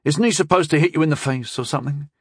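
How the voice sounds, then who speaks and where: A man's voice: fairly high pitch, quick pace, darkish tone, slightly rough, no room sound, clean studio sound, pitched above the performer's own voice. A man voicing a bemused, irreverent knight in a fantasy audiobook, casual and mocking. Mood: casual, teasing. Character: bemused, irreverent knight, the narrator's amused comrade.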